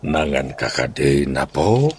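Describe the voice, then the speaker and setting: An actor playing a frail, fond old grandfather in an Ilocano radio drama, speaking slowly and wearily. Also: very deep voice, very animated, heavily acted. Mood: weary, fond. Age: older. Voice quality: gravelly, slow.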